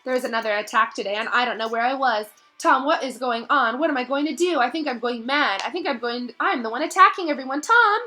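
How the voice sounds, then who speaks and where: A woman voicing a frightened young girl confessing to her diary, rushed and pleading. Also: sits high, bright, forceful tone, faint music behind, pitched above the performer's own voice. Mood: frantic.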